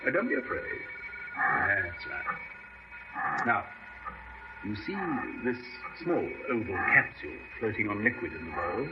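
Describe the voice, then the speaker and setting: A doctor in an old radio horror drama, proud of his experiment. His pitch moves in animated swings as he coaxes and reassures with precise assurance. The recording is dull, with clear noise behind it. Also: slow pace, long pauses. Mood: gently coaxing.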